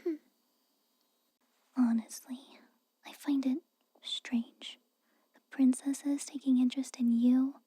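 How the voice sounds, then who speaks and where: Woman as a whispering, breathy tsundere maid. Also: high-pitched, little pitch movement, takes it slowly, pauses often, warm tone, no room sound, full character voice. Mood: uneasy, suspicious. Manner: hesitant, reluctant, aloof and chiding. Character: tsundere young female castle maid, haughty, guarded, secretly caring. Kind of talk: whispered ASMR roleplay monologue.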